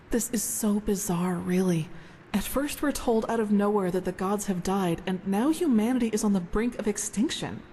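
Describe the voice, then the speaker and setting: An actress playing an earnest, thoughtful female novice of a holy order in fantasy game dialogue, measured and reflective. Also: mid-range voice, warm tone, slight background noise, close to an everyday voice. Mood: pensive.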